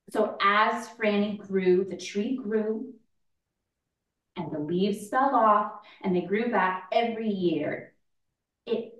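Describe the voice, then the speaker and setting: Actress as a grieving mother, slow and halting, echoey. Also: mid-range voice, natural voice. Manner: slow, deliberate, with long pauses. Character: grieving mother eulogizing her daughter, halting and reflective. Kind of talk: staged play reading, dramatic monologue. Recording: roomy, distant mic in echoey space.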